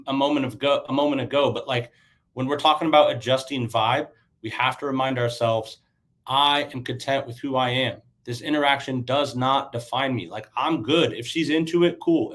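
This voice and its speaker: A man in his thirties, stuffy and a little raspy from a sinus infection, giving loose conversational dating advice as a coach and often checking in with "right?". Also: medium pitch. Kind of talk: casual livestream coaching talk.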